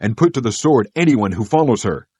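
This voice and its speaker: Man acting a stern high priest, rough-edged. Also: animated, brisk, warm tone, dry recording. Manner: authoritative, commanding. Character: stern commanding high priest giving orders. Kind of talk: dramatized audio Bible acting.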